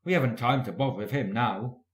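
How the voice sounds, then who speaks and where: A man voicing a brisk, dismissive male railway boss in a storybook, curt and bossy. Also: mid-range voice, close to an everyday voice. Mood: exasperated, brisk.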